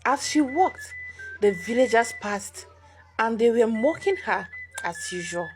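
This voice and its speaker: A woman's voice: a little high, animated, unhurried, music in the background, rough recording. A woman narrating a scripted folktale as a storyteller.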